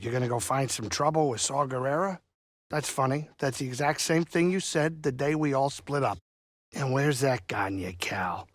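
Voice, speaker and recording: A man voicing a gruff but caring small alien saloon keeper in a video game, with a blustery, folksy, wisecracking delivery. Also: mid-range voice, fairly bright tone, no room sound, clean studio sound. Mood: earnest, concerned. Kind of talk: video game character dialogue.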